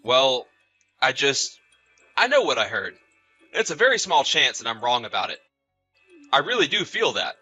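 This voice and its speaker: A man's voice: mid-range voice, big pitch swings, normal speed, pauses often, sharp tone, faint music behind, heavily acted, poor audio. A man playing an awkward neighbor with a complaint in a scripted audio story, hesitant and tactful but insistent. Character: awkward, tired male neighbor making a complaint.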